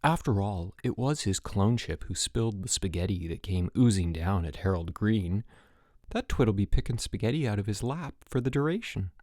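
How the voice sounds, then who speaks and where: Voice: sits low, normal speed, no room sound. A narrator voicing a dry, unflappable troublemaker boy at summer camp in a story, wearily sarcastic. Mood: wry. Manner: deadpan, weary, mock-modest.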